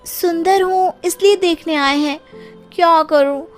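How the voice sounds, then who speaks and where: A woman voicing a pampered, vain young bride who whines in a high, drawn-out way. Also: faint music behind. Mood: coy, whiny.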